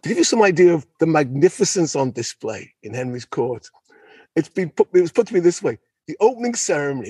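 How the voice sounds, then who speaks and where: Enthusiastic man, husky, higher-pitched voice. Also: a little mellow, dry recording. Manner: enthusiastic, digressive, repeats phrases for emphasis. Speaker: podcast guest discussing history. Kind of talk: unscripted, conversational history discussion.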